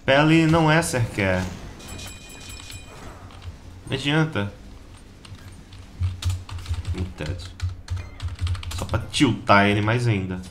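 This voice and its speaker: Slightly hoarse Brazilian man, gaming commentary. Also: fairly low pitch, lively intonation, slow pace, long pauses, a bit bright. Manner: slangy, informal, chatty. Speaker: gaming channel host. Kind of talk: casual gameplay guide commentary. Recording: keyboard clatter and background noise.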